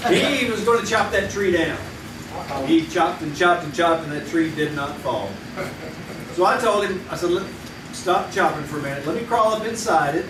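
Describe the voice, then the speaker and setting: An actor in a live radio play, playing a middle-aged man who tells an animated childhood story around a campfire. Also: high-pitched, a little slow, long pauses. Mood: lively. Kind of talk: live old-time radio play acting. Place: live theater stage. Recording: echoey live theater from far off with room noise.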